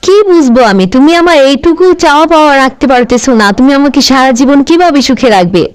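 Woman acting a shrill-pitched, petulant cartoon grandmother. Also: animated, quick pace. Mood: petulant, demanding. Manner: nagging, sulky, bossy. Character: petulant, demanding, vindictive grandmother and exiled lady politician.

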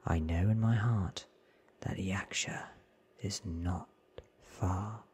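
A man in his thirties reading a novel aloud in a hushed, whispery ASMR style, close to the microphone. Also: sits low, fairly even intonation. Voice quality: breathy, whispery. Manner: slow, hushed, deliberate. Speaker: ASMR story reader. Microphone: close microphone with foam windscreen.

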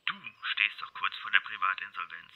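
A man's voice: deep voice, fairly even intonation, a little fast, breathy edge. A man playing a smug, cold swindler who taunts and threatens in an audio crime drama. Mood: smug, mocking. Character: cold, menacing swindler uncle threatening his sister-in-law. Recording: dull, noisy, clicky recording.

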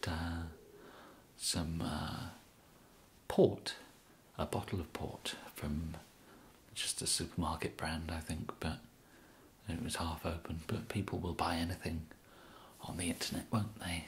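A young man with an English accent whispering an ASMR roleplay as an awkward, polite kidnapper, halting and apologetic, close to the microphone. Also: low-pitched. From England. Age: young adult. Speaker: ASMR performer. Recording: steady background hiss.

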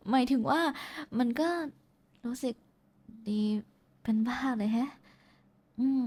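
A woman performs a young woman in an intimate audio roleplay, with a voice pitched a bit high. She is bashful and affectionate, chatting casually to someone she likes. Her teasing comes with small hesitations. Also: takes it slowly, warm tone, slightly rough, exaggerated voice. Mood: shy, affectionate. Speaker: VTuber voice actress. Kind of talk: intimate roleplay voice pack addressed to the listener.

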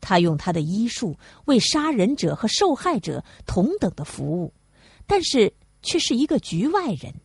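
A woman's voice: mid-range voice, very animated, normal speed, slightly rough, no echo, clean studio sound. A woman reading a classic novel aloud as a narrator. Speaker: audiobook narrator.

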